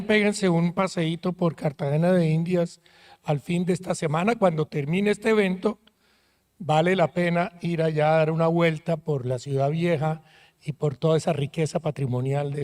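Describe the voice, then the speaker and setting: A man from Colombia, a university rector speaking on a conference panel in a friendly, measured way with frequent hesitations. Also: high voice, a little mellow. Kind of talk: panel talk. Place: conference auditorium.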